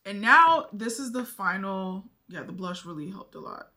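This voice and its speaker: Bright-voiced chatty woman vlogger. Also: mid-range voice, lively intonation, normal speed, slight background noise. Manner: chatty, thinks aloud, addresses viewers as y'all. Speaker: beauty vlogger. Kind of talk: casual makeup tutorial chat.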